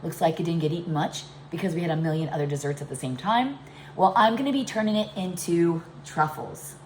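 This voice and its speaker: A middle-aged woman hosting a casual home cooking demonstration, her voice congested and deepened by a chest cold. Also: lively intonation, brisk, a little mellow. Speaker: home cooking video host. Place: indoors, home kitchen. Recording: echoey room with background noise.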